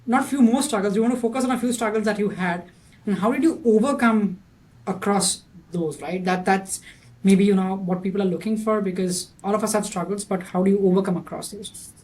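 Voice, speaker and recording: A man's voice: high voice, brisk, a little mellow, poor audio. A man with a thick Indian accent hosting a podcast interview, asking questions with many restarts and a habit of adding "right?". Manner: restarts sentences often, tags "right?". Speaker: podcast host.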